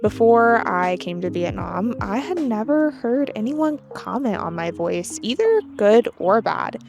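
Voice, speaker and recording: A young American woman with a light vocal fry, talking to her channel audience in a measured, explanatory way. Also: medium pitch. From United States. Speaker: channel host. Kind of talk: scripted talk to camera.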